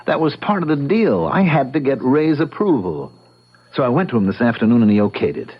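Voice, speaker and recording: An actor playing an earnest, stubborn young American bachelor in an old-time radio drama, explaining himself emphatically. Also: male voice, mid-range voice, very animated, brisk, breathy edge. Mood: confessing, pleading. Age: young adult. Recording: muffled radio drama recording.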